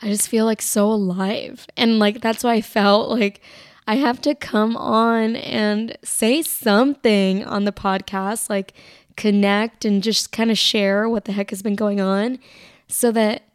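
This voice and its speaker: A young woman with a raspy voice hosting her own podcast, chatting casually and rambling with plenty of "like", tired as she recovers from being sick. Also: medium pitch, steady tone, measured pace, no room sound, studio quality. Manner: rambling, filler-heavy, lots of "like". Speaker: podcast host. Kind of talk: casual solo podcast chat.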